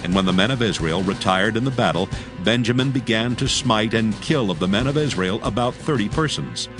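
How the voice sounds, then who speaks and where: A man reading scripture as the narrator of a dramatized audio Bible, solemn and measured. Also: fairly low pitch, lively intonation. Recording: prominent background music. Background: music.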